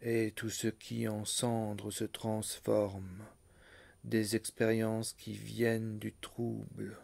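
Slow, even-toned man reciting poetry. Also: on the low side, creaky. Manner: measured, deliberate phrasing with pauses between short phrases. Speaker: poetry reader.

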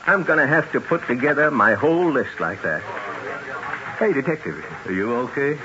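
Casting: male actor as grumbling, slangy gun dealer, very lively pitch, old radio drama, muffled noisy recording. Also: normal speed, close to an everyday voice. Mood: musing. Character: irritated, talkative gun shop owner, a man. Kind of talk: 1970s radio drama acting.

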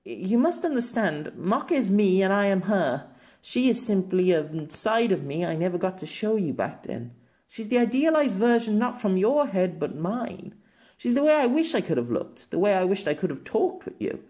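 A man's voice: sits high, big pitch swings, normal speed, a little mellow, voice pushed up. A man voicing an earnest, apologetic fantasy woman in an audiobook, explaining and pleading as she says farewell. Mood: pleading, wistful.

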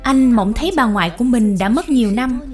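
A woman narrates a scripted tale. Her voice sits on the high side. Gentle background music runs beneath the narration. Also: lively intonation, fairly quick. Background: soft music.

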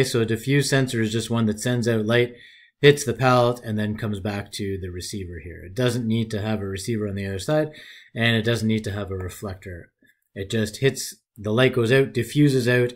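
A man with a fairly low voice narrates a software tutorial over a screen recording. He talks in a relaxed, folksy way, describing each click and drag as he goes.

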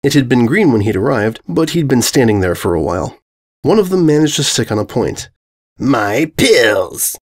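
A man's voice: medium pitch, sing-song, a little fast, a little mellow. A man narrating comic fantasy fiction as a scripted dramatic reading.

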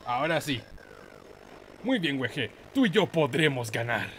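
A man with a Chilean Spanish accent giving casual, excitable let's play commentary in a playful, slangy, exclamatory manner. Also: mid-range voice, unhurried, slight background noise. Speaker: gaming YouTuber, host.

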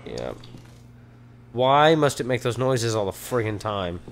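A hoarse man with a Texan accent, chatting nervously and muttering as he plays a horror game, swearing at the scares. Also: medium pitch, lively intonation, a little slow, noisy background. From Texas. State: hoarse from allergies. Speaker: let's play gamer.

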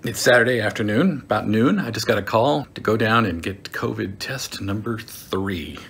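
A man's voice: on the low side, moderate pace, a bit bright, husky. Chatty middle-aged American man, wry. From United States. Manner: chatty, wry, self-mocking storyteller. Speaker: vlogger. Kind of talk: casual video diary. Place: hotel room, indoors. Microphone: phone camera at arm's length.

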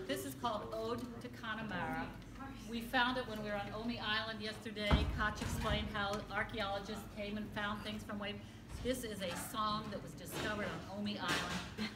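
A woman's voice: medium pitch, normal speed, pauses often. A woman introducing a group song to a room in a cheerful, jokey way. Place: large hotel function room. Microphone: far camera. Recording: roomy, distant camera audio.

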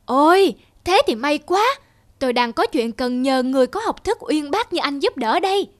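A woman's voice: high voice, lively intonation, moderate pace. A woman voicing a naive, eager grey mouse in a cartoon, speaking with deference. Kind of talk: cartoon voice acting.

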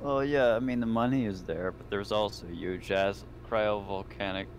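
A man's voice: fairly low pitch, normal speed, a little hiss or hum. A man chatting casually as a player in a tabletop role-playing game. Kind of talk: casual in-character game chat. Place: indoors, online voice call.

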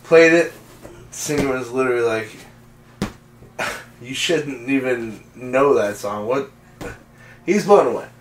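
A man's voice: mid-range voice, slight background noise. A man telling friends about joining a band, in casual chat full of restarts. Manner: excited, halting restarts. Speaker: guest musician. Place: small home den, indoors. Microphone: far camera mic. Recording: echoey room from far off.

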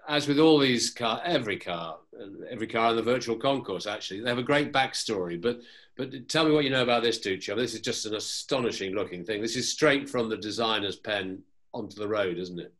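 Man, quick-talking moderator, brisk prompts, video call. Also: fairly low pitch, fairly bright tone.

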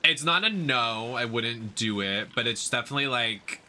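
A man's voice: medium pitch, expressive, normal speed, sharp tone, a little hiss or hum. A young man chatting casually on a game livestream with a campy, dramatic delivery. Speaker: drag performer and game streamer. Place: indoor streaming setup.

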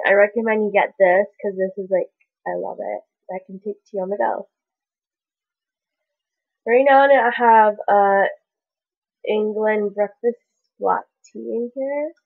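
A young woman vlogging from her bedroom, chatting casually with lots of "like" while sounding congested and stuffy. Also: mid-range voice. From Canada. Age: young adult. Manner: chatty, rambling, many "like" fillers. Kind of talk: casual chat to camera. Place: small bedroom indoors. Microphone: webcam at desk distance. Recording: dull lo-fi webcam audio.

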